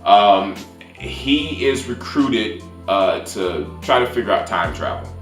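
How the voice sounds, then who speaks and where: Man, lowish pitch, casual review host, informal recap delivery, echoey room, faint background music. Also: expressive, takes it slowly. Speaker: film review channel host. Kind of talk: casual movie recap with spoilers. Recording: roomy echo, faint music behind.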